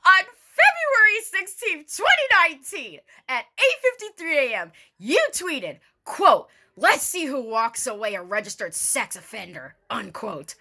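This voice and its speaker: Theatrical, sarcastic woman with lively pitch. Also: measured pace, hardly any pauses. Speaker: online video creator doing a dramatic reading. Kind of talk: mock-formal dramatic reading with casual sarcastic asides.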